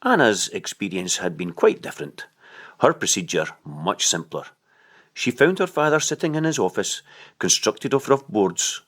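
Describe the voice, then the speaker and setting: A man reading a literary story aloud as an audiobook narrator, in an expressive storytelling style. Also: fairly low pitch, normal speed.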